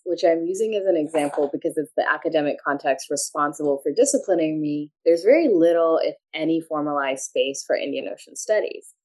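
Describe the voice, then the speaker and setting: A woman from Pakistan in her thirties, a professor answering interview questions in a measured, articulate way. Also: low-pitched, poor audio. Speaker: guest, English professor. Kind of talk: academic interview.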